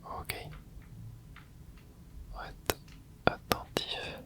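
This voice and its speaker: An ASMR gaming host whispering a running commentary while playing, muttering quiet reactions into a close microphone. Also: drawn-out words.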